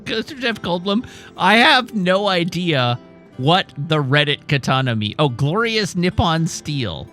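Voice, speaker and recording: A man's voice: on the high side, very animated, a little slow, bright, forceful tone, faint music behind. A man giving casual, wry, joking commentary as he streams a video game. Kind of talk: casual live game commentary, sometimes reading aloud.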